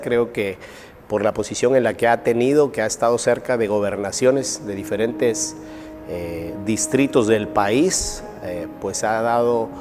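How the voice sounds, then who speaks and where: A man's voice: mid-range voice, in a large hall. Guatemalan man hosting a talk interview. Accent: Guatemalan Spanish. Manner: measured, thoughtful, many fillers. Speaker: TV talk show host. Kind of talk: interview host questions.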